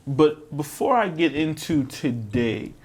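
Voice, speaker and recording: A man's voice: fairly high pitch, lively intonation, slow, a little mellow, husky. A man hosting a casual podcast, talking in a slangy, emphatic way and repeating phrases as he addresses his guest. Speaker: podcast host interviewing his co-host. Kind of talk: casual podcast intro and interview.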